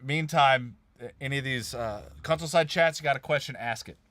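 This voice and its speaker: Host type, bright forceful male voice, dry close sound, easygoing self-deprecating talker. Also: medium pitch, fairly quick, no echo. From Kansas. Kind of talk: casual studio chat on a live stream.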